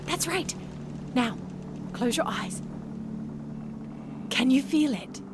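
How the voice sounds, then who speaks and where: A woman's voice: sits high, animated, slow pace, noisy background. A woman acting a free-spirited, dreamy young sailor in a Regency-era audio drama, poetic and full of wonder. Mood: dreamy, encouraging. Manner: wonder-filled, poetic, effusive. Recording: music bed behind the voice.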